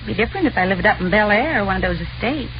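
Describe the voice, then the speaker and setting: An actress playing a forgetful, chatty elderly housewife in 1950s American radio drama, with folksy, clipped delivery. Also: female voice, mid-range voice, animated, very fast, close to an everyday voice. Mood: chatty, bemused. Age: older. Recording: noisy, muffled old radio recording.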